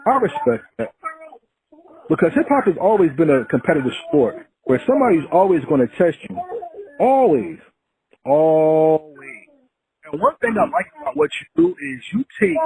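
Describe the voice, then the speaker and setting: A man's voice: a little high, warm tone, rough recording. A man hosting a hip-hop podcast, asking questions in an earnest, preachy way. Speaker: podcast host. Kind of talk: casual interview.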